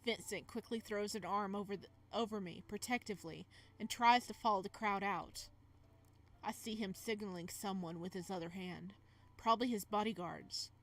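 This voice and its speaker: A woman's voice: mid-range voice, normal speed, lightly acted. A woman reading as the flustered young male protagonist of a romance visual novel, in dramatic first-person narration. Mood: tense.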